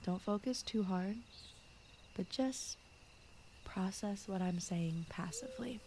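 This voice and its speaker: A woman voicing a gentle, shy fox spirit who guards a forest, speaking softly and often in whispers in a soothing bedtime ASMR roleplay style. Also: medium pitch, slow pace, slight background noise. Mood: concerned, soothing. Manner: soft-spoken, often slipping into whispers, rambling, apologetic. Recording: clear night cricket and forest ambience. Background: crickets, insects, night forest ambience.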